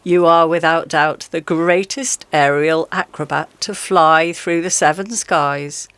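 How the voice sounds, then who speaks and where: An actor playing a cunning female fossa in a radio play, talking in drawn-out, honeyed flattery. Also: medium pitch, clean tone, dry recording, natural voice. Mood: sly, coaxing.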